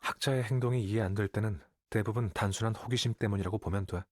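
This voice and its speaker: An actor playing an aloof, calculating young male scholar in a Korean video game, with a detached, measured delivery. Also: fairly low pitch, no echo, studio quality, lightly acted. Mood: cool, instructive. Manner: detached, matter-of-fact, measured.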